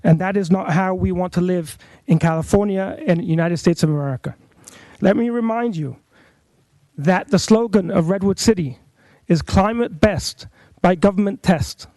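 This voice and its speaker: A middle-aged man who is a rabbi, giving an earnest, measured public comment at a council meeting podium microphone. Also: high-pitched, mellow, no room sound. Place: council chamber.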